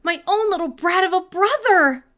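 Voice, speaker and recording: A woman's voice: very high voice, expressive, normal speed, fairly bright tone, voice pushed up. A woman voicing a stubborn, whiny young girl in a children's storybook, dramatic in manner. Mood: outraged. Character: stubborn, whiny young girl Sophie, bratty but soft-hearted.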